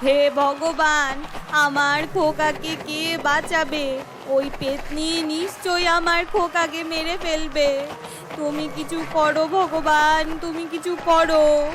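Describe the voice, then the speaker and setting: A woman's voice: high-pitched, flat delivery, takes it slowly, noisy background. A woman voicing a distraught village mother who wails and pleads, in a horror cartoon. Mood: tearful despair. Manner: wailing, pleading.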